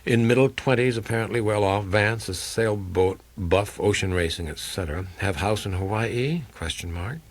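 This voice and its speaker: Deep, husky, world-weary private eye, played by a man. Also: normal speed, few pauses, natural voice. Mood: clipped, dry. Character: world-weary male private investigator, blunt and principled, narrating his case. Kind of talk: dramatic first-person audiobook reading of hardboiled crime fiction.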